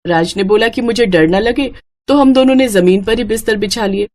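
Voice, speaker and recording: A woman voicing a timid, gentle young bride in a family story, anxious and accommodating. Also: medium pitch, normal speed, close to an everyday voice.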